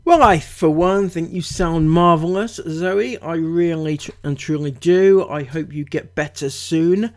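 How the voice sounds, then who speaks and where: A man's voice: on the high side, measured pace, hardly any pauses. A man with a cold, giving a mocking, chatty commentary as a vlogger, full of asides and fillers. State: has a cold. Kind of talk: sarcastic commentary.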